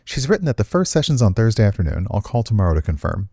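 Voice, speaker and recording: A man's voice: fairly low pitch, very animated, fast, a little mellow. A man reading visual novel dialogue as a young woman dancer, earnest, hesitant and a little defensive.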